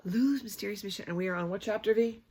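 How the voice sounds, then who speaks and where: A woman's voice: medium pitch, racing, dark tone, slightly rough. A woman storyteller reading a children's book aloud expressively, adding chatty asides and prompting listeners with questions.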